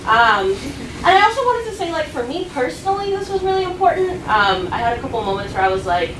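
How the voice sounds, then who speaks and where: A woman's voice: sits high, very animated, fast. A young woman graduate student asking a question at a lecture, hesitant with lots of "um" and "like". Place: lecture room. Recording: echoey room, far from mic, noisy.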